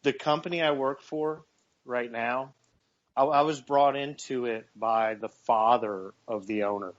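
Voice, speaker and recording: A man's voice: medium pitch, steady tone, measured pace. Man in his forties, muffled radio talk. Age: 40s. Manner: earnest, repeats words while gathering thoughts. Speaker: radio show co-host. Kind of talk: casual talk radio chat.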